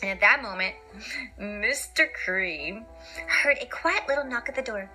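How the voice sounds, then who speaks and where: A woman's voice: mid-range voice, measured pace, bright, forceful tone. A woman reading a children's picture book aloud theatrically, with playful asides and repetition. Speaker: storyteller, podcast host. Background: music.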